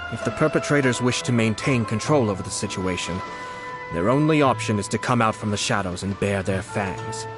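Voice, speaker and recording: Man as a composed, low-voiced young nobleman. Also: expressive, over music, lightly acted. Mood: calm, resolute. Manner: measured, polite, formal. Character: composed, courtly young nobleman, shrewd and unflappable commissioner. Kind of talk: anime-style game voice acting.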